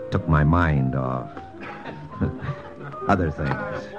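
An actor playing a wry army lieutenant who narrates his own story in an old radio drama. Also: on the low side. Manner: wry, dry, confiding narration. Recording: old radio broadcast with music.

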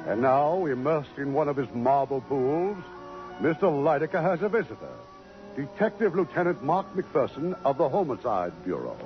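A man's voice: fairly high pitch, animated, moderate pace, over music. A man hosting and narrating a scripted drama program in 1950s radio style. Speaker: radio show host and narrator. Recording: muffled 1950s radio broadcast.

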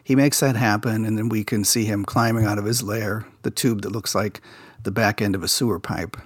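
A man's voice: lowish voice, brisk, slightly rough, no echo, clean studio sound. A man hosting a podcast, casually recapping a children's TV episode and sharing behind-the-scenes production stories. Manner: relaxed insider storytelling, recounting production details.

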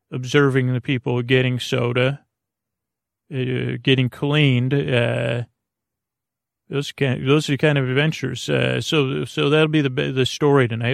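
A man hosting a bedtime podcast in a creaky voice, meandering and halting as he loses his thread and circles back. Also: medium pitch, no echo, clean studio sound. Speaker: podcast host. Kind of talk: rambling bedtime podcast monologue.

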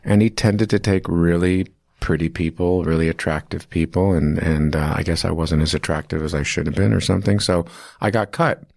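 A man's voice: low-pitched, steady tone, brisk, vocal fry, a little mellow, no echo, clean studio sound. A man in his fifties, an acting teacher, telling long stories in an interview, rambling with restarts and fillers. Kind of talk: casual interview storytelling.

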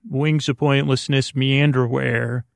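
A man hosting a bedtime podcast in a creaky voice, rambling in a halting, soothing, meandering way. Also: medium pitch, flat delivery, dry recording, clean studio sound. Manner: lulling, soothing. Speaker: podcast host.

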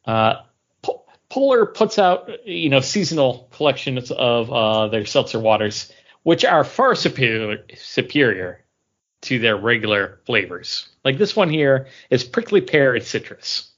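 A man's voice: medium pitch, very animated, unhurried, a bit bright. A man chatting casually as a podcast co-host, playful and rambling in the way he talks. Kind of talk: casual chat. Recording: dull lo-fi audio.

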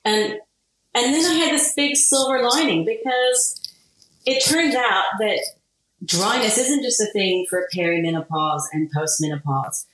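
A woman from Kansas in her forties, answering interview questions in an animated way and laughing often. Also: on the high side, unhurried. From Kansas, USA. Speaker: guest, product founder. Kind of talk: interview conversation. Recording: very echoey room, faint noise.

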